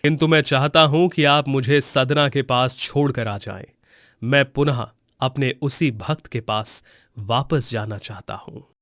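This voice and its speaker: A man's voice: medium pitch, a bit bright, no echo, studio quality, natural voice. A man playing a serene, gracious god. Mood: gently commanding. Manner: formal, measured, gracious.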